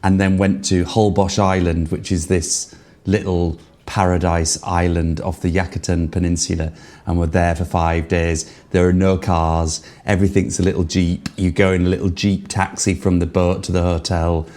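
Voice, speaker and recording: Guy with a really low voice, excitable chatty podcast host. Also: normal speed. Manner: enthusiastic, laughs often. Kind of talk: casual chat. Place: remote call from abroad.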